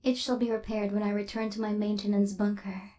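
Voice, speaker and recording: A woman's voice: medium pitch, flat delivery, fairly quick, dark tone, close to an everyday voice. A woman voicing a cold, haughty female killer robot in an ASMR roleplay, speaking in a formal, clinical, stilted way with a hint of hidden fluster. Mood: flustered, softening.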